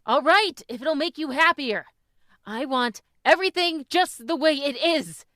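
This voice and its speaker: A fairly high female voice of an actress plays a proud, guarded mother in an old-time radio play. She speaks haltingly when pressed. The recording is dry and close. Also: animated, fairly bright tone, no room sound. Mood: defensive.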